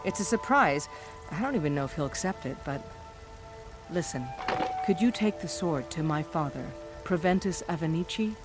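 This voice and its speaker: A woman's voice: low-pitched, lively intonation, normal speed, rough recording. An actress playing a modest, hardworking woman blacksmith in a fantasy game, earnest and plainspoken, with music behind.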